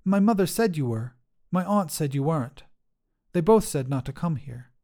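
A man's voice: a little high, lively intonation, normal speed, warm tone, voice pushed up. A man voicing a frank, fearless six-year-old village girl in a narrated story, with simple, matter-of-fact phrasing. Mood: frank, curious.

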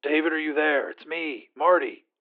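A man's voice: fairly high pitch, moderate pace, phone-quality sound. A man voicing a tired, patient mission-control man guiding a stranded astronaut over a radio link, in an audio drama. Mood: tired, concerned. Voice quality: weary. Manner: patient, reassuring, instructing. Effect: radio filter. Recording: thin, band-limited radio transmission.

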